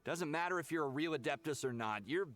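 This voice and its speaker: A man's voice: a little high, quick pace, fairly bright tone, lightly acted. An actor playing an impatient, suspicious young townsman in an anime video game, brash, whiny and accusatory. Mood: annoyed, accusing.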